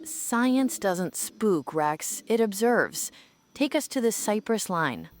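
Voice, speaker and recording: A woman's voice: mid-range voice, big pitch swings, slow pace, a crowd in the background. A woman playing a fearless, rational scientist giving clipped technical commands in a radio play. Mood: cool, firm. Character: brilliant female swamp scientist, brisk, fearless, coolly rational.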